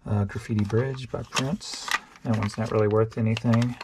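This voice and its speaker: A man's voice: fairly low pitch, steady tone, normal speed, noisy background. A man, chatting casually and thinking aloud as he sorts through items for resale. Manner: rambling and offhand, thinks aloud while sorting tapes. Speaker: online reseller. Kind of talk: casual show-and-tell vlog.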